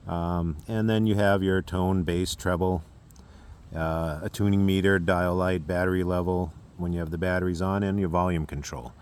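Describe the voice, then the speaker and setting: An American man casually presenting a demonstration as a hobbyist, with a halting, unscripted delivery. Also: deep voice, fairly even intonation, a little mellow, smooth.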